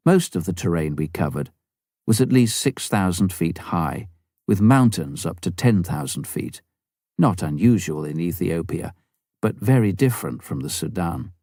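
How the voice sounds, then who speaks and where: Low-pitched, expressive male audiobook narrator. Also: no echo, studio quality. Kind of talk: scripted memoir narration.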